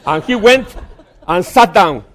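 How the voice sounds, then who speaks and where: Give me a male guest preacher who tells a church story slowly and haltingly, with a fairly high voice. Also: lively intonation, a faint crowd. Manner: halting, with restarts and gentle humor. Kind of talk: sermon with a personal story.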